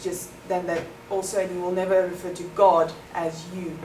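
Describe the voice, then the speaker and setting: A woman's voice: fairly low pitch, flat delivery, moderate pace, mellow. A young woman from South Africa whose first language is Afrikaans, giving thoughtful interview answers with many ums. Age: young adult. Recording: echoey room, far from the mic, noisy.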